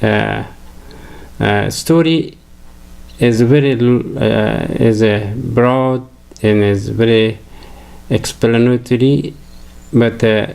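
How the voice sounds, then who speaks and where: An older man from Afghanistan with an Afghan accent, a native Farsi speaker, giving a halting religious lecture full of fillers and restarts. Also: mid-range voice, gravelly, a little mellow, clear, resonant tone. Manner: hesitant, many fillers and restarts.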